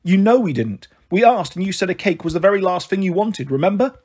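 A woman voicing a blunt, protective elder sister, a British schoolgirl, in a sharp and brisk manner for an audiobook. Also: fairly low pitch, animated, slightly rough, pitched above the performer's own voice. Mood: exasperated.

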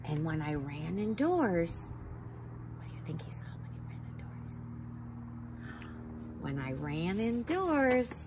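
A woman in her forties reading a picture book aloud to young children outdoors, slow and sing-song, sounding out letters and gasping for effect. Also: fairly low pitch, sing-song, heavy background noise. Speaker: storytime reader for children. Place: backyard patio outdoors by a wooden fence.

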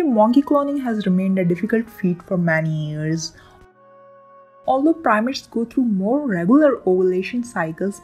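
A woman hosting a science channel, reading a scripted explainer in a lively, emphatic way. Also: medium pitch, breathy edge, low-quality recording. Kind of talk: scripted educational narration. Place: voice-over with soft background music.

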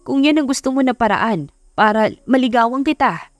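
A woman's voice: a little high, lively intonation, normal speed, no echo, soft background music, pitched above the performer's own voice, lightly acted. Woman voicing a teasing, confident young male CEO. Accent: Filipino.

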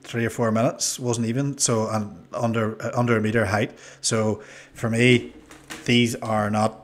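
A man in his forties with a Northern Irish accent giving a casual, low-key, hesitant product review into a desk microphone. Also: flat delivery, measured pace, a little hiss or hum. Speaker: review channel host. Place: small indoor room. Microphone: desk microphone on a boom arm.

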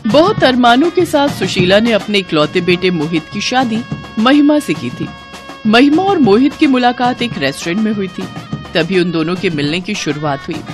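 Female narrator for cartoon tales, scripted storybook read, very animated intonation. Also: medium pitch, moderate pace, music in the background.

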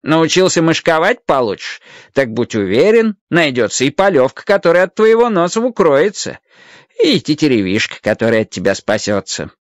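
A man's voice: on the high side, big pitch swings, moderate pace, no room sound, clean studio sound, voice pushed up. A man voicing a little old magical guardian in a radio play, a stern but kindly mentor who scolds and lectures emphatically. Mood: lecturing.

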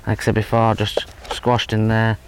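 Man with a low, flat voice. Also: normal speed. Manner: relaxed, hesitant, many fillers and restarts. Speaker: angling instructor. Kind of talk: casual fishing tutorial. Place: outdoors at a lakeside fishing spot. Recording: faint outdoor background noise. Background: faint outdoor ambience.

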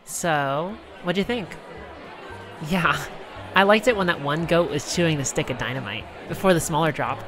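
A performer voicing a cheerful, affectionate girlfriend on a theme park date, speaking gently and unhurriedly in a one-sided ASMR roleplay. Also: medium pitch, over music, crowd noise, close to an everyday voice. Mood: relaxed. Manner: gentle, unhurried, softly conversational.

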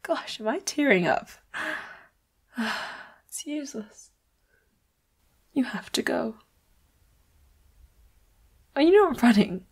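A woman playing a seductive, secretly murderous leopard-hybrid boss who is tender toward the listener, in an intimate audio roleplay, breathy and often whispering, with a coy, teasing manner. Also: sits high, big pitch swings, slow, long pauses, full character voice. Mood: tearful, resigned, then sly, menacing.

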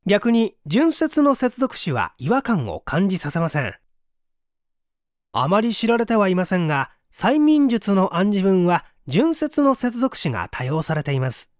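A man narrates a book in a high voice with lively, swinging intonation. His delivery is scripted and explanatory, laid out clearly step by step. The recording is dry and close, with no room sound. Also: dry recording. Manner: measured, explanatory read-aloud with acted example lines. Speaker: audiobook narrator.

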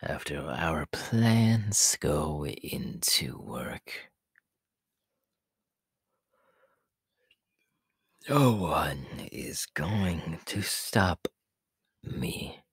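A man voicing a sinister, taunting male snake villain in an ASMR roleplay, with a breathy, raspy whisper and slow, gloating delivery, close to the mic. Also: extremely low pitch, lively intonation, no echo, full character voice. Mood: mocking, threatening.

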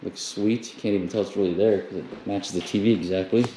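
Low-pitched man, casual walkthrough, noisy audio. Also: fairly even intonation, quick pace, warm tone. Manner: informal, off-the-cuff narration. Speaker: AV installer hosting a job-site video. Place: large open living room with high ceiling, indoors. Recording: clearly noisy camera audio, clicks.

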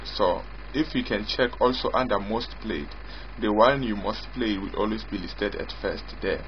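A man giving an informal screen-recorded software demo as its developer, in a halting way, often repeating and rephrasing. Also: on the low side, a little hiss or hum. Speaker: software developer demonstrating own app. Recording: dull lo-fi mic with prominent music.

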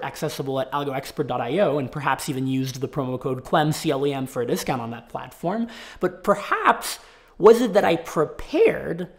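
A young man talking to camera in a casual vlog, sick and raspy, animated, from a small home office. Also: fairly high pitch. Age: young adult. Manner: animated, gestures a lot with his hands. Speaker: tech YouTuber.

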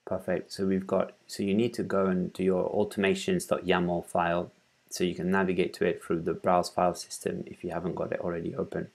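Male tutorial presenter, thirties, very low monotone, halting and informal, explaining each step at his desk. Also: vocal fry. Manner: halting, thinking aloud while working. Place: small room at home, indoors.

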